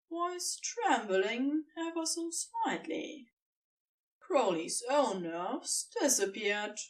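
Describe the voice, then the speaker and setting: A woman narrating fiction from a script in an expressive storytelling style. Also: medium pitch, far from the mic, poor audio.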